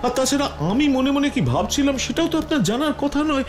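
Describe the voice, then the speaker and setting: A man voicing a curious, eager, polite young nephew in a Bengali cartoon, with an expressive, dramatic delivery. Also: very high voice, a little fast, soft background music. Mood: startled, puzzled. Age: young adult.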